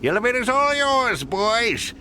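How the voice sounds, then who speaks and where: A man playing a cranky, possessive old gold prospector in a cartoon game, with a gravelly Old West drawl. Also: very high-pitched, sing-song, normal speed, background noise. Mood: grudging. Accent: American Old West. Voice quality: creaky, gravelly. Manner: grumpy, drawling. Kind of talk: animated video game voice acting.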